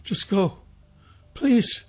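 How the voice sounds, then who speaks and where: Man doing a frightened young mother, raised pitch. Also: measured pace, creaky, breathy edge, exaggerated voice. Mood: pleading. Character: frightened, grieving young mother, wary and protective.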